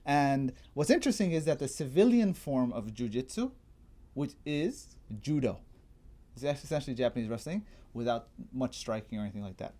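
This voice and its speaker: A man in a casual chat, explaining history as a guest in an informal, digressive way, as if thinking out loud. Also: mid-range voice, animated, moderate pace. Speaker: guest martial arts enthusiast explaining history.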